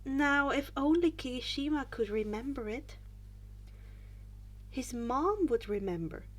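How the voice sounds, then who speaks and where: High-voiced woman reading a story aloud. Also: lively intonation, unhurried. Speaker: fan fiction audiobook narrator. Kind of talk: amateur scripted story reading.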